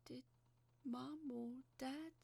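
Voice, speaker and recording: A woman's voice: sits high, fairly even intonation, extremely slow, creaky, mellow, husky, no room sound, voice pushed up, heavily acted. A woman voicing a frightened daughter, anxious and pleading but clinging to hope, in an audio horror story. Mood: fearful.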